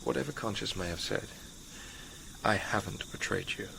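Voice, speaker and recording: A man's voice: lowish voice, moderate pace, gravelly, pushed and bright, slight background noise, full character voice, rough recording. An actor playing a wary, frustrated young man in a naturalistic radio drama, speaking tersely and earnestly.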